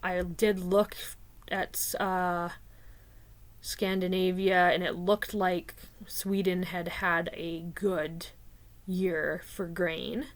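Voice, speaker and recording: Slow, hesitant Canadian woman musing aloud. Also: on the low side, little pitch movement. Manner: unhurried, hesitant, many fillers like "um" and "like". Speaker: video creator discussing rune poems. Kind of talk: casual spoken commentary.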